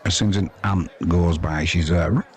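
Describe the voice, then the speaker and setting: Casting: male cricket commentator, very low register, informal and chatty, light crowd bed underneath. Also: steady tone, normal speed. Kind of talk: casual ad-lib sports commentary and chat.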